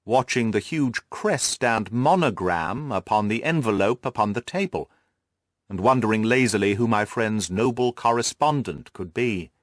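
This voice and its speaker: Man narrating an audiobook with lively intonation. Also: on the low side, moderate pace, dry recording, studio quality. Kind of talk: scripted literary first-person narration.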